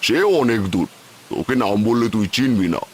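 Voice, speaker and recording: A man voicing a haughty, suspicious rich city gentleman in a cartoon moral tale, condescending and complaining. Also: on the low side, brisk, slight background noise, close to an everyday voice. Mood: fretful.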